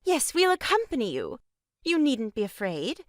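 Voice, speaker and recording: Woman acting a high, swooping, theatrical pushy lady. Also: wildly swooping pitch, moderate pace, no room sound, clean studio sound. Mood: coaxing. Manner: dramatic, insistent, mannered. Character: pushy, theatrically pious young lady relative of farce. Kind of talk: stage farce audio drama acting.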